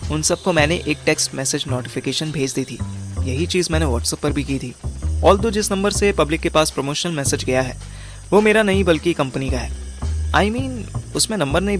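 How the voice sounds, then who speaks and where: A man's voice: on the high side, close to an everyday voice. Bossy, impatient young detective, acted by a man. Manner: slangy, impatient, mixes in English words. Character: bossy, irritable young male detective business boss. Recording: music under the voice.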